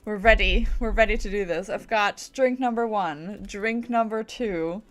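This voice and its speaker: A woman hosts a casual gaming livestream at a leisurely pace. Her voice sits at a middle pitch, and she rambles playfully to her viewers, often sounding giggly. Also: a little slow, faint music behind. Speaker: video game livestreamer.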